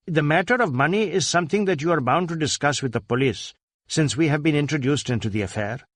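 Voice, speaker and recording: A man's voice: on the high side, fast talker, fairly bright tone, no room sound, clean studio sound. A man voicing a dutiful, uneasy Indian police inspector in an audiobook, speaking with cautious, hesitant deference. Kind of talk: audiobook character voice.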